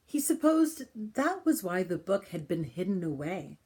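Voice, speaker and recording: A woman reading a children's picture book aloud in an expressive style, with deliberate, dramatic phrasing and pauses. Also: mid-range voice. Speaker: storybook reader.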